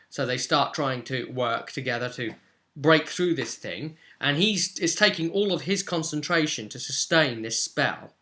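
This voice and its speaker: A man with a middle-pitched, sharply bright voice recaps a roleplaying story as its game master. He talks informally and unscripted, stepping aside often to explain how things work.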